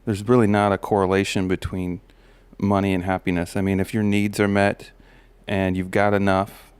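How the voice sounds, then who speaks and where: Man, low steady voice, smooth with slight gravel, reflective host talking, dry close sound. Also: moderate pace, no room sound. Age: adult parent of a daughter. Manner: relaxed, musing, many fillers and restarts. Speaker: podcast host. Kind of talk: reflective personal monologue.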